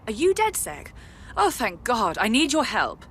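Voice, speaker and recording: An expressive, earnest British recruit. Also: female voice, on the high side, measured pace, a little hiss or hum, heavily acted. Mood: worried, pleading. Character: polite, earnest female civilian recruit to a resistance. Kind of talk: conversational video game dialogue.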